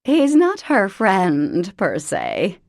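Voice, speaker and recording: A woman's voice: medium pitch, wildly swooping pitch, drawn-out words, a little mellow, no room sound, clean studio sound, exaggerated voice. A woman voicing a vain, bossy middle-aged society mother in an audiobook, theatrical and dramatic. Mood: gushing. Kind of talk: audiobook character voice.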